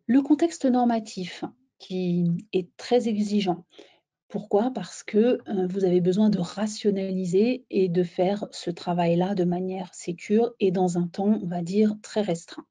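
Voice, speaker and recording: A woman presenting a software webinar in an explanatory, somewhat halting way, as if walking listeners through slides. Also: medium pitch, fairly even intonation.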